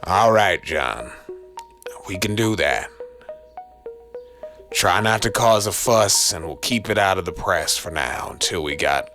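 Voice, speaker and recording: Guy doing a cranky tired new police chief, low voice, blunt noir cop talk. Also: animated, unhurried, pauses often, music in the background. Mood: grudging, threatening. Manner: blunt, streetwise, exasperated. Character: gruff, weary, irritable male New York police chief. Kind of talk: noir audio drama dialogue.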